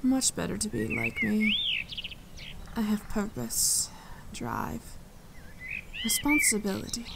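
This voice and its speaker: A woman playing a regal, dryly amused and protective fae leader in an intimate ASMR fantasy roleplay, speaking in a measured, composed, gently condescending way. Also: fairly high pitch, wildly swooping pitch, creaky, full character voice. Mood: dry, purposeful. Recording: forest ambience with birdsong. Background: birdsong and forest sounds.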